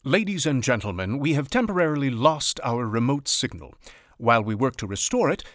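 A composed 1930s-style radio announcer with a medium-pitched, lively voice. The studio sound is dry. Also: male voice, fairly quick, dry recording.

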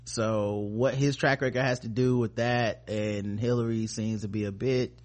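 A man's voice: fairly low pitch, steady tone, measured pace. A man hosting a podcast with casual commentary, talking in a rambling, informal way full of slang and swearing. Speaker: podcast host.

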